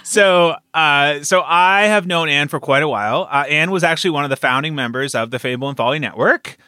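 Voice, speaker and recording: A man hosting a casual podcast interview, speaking informally with halting phrasing. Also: fairly high pitch, pushed and bright, dry recording, clean studio sound. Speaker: podcast interviewer and network founder.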